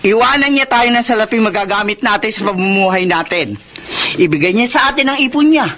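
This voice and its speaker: A woman's voice: mid-range voice, lively intonation, fairly quick, fairly bright tone, natural voice. An actress playing a domineering, money-minded mother who scolds and insists in a Filipino radio drama. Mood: defensive, insistent. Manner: scolding, insistent, sharply emphatic. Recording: noisy radio drama recording.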